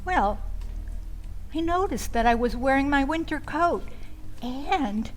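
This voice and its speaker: High-pitched, animated woman storyteller. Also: faint music behind. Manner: dramatic, deliberate delivery with pauses and asides. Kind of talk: theatrical first-person storytelling.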